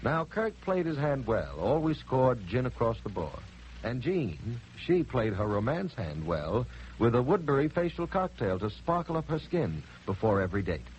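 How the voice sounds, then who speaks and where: A man's voice: medium pitch, big pitch swings, moderate pace, a little mellow, muffled recording. An American man narrating advertisements as a polished 1940s radio announcer, telling little stories to sell. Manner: polished storytelling salesmanship. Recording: faintly noisy 1940s radio recording.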